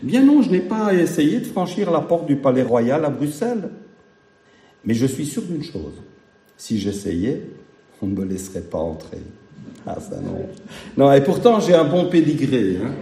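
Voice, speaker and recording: A man preaching to his congregation, his voice deeply dark and mellow. He mixes homely jokes with earnest repetition, and the sound carries an echo from the room. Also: sing-song, moderate pace. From Belgium. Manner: folksy, humorous, emphatic repetition. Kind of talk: sermon.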